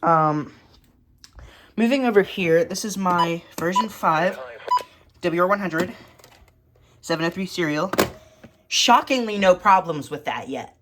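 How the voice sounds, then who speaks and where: A woman's voice: lowish voice, expressive, a little slow, long pauses, a bit bright, slight background noise. A woman giving a casual, matter-of-fact show-and-tell of a hobby collection in short, clipped phrases, recorded indoors at home.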